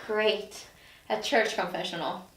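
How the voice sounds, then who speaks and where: A woman's voice: medium pitch, fairly even intonation, measured pace, a bit bright, natural voice. A woman playing an incredulous, insistent newly dead woman of forty at a drama table read. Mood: sceptical. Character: indignant, disbelieving forty-year-old woman newly dead. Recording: roomy echo, distant mic, faint noise.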